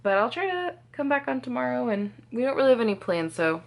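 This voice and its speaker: A woman's voice: on the high side, sing-song, fast talker, vocal fry. A young woman vlogging casually at home, chatty, with lots of "like" fillers and small laughs. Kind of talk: casual vlog chat. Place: home kitchen.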